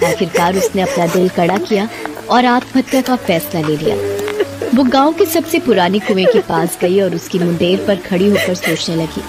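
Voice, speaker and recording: Female narrator, mid pitch, very lively intonation, dramatic scripted storytelling with music behind. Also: normal speed.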